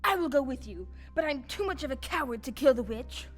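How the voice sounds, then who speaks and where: Cowardly lion, played by an actress: high, earnest, dramatic storybook radio-play voice, faint music behind. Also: female voice, animated, fairly quick. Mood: fretful. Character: timid cowardly lion, loyal companion. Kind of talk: audio drama acting.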